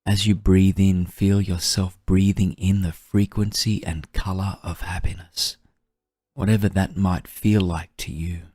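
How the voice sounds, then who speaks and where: A man guiding a meditation in a soft, breathy, soothing voice, gently and unhurriedly, with long pauses. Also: low voice, flat delivery, dry recording, studio quality. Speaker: meditation guide. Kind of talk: guided meditation narration.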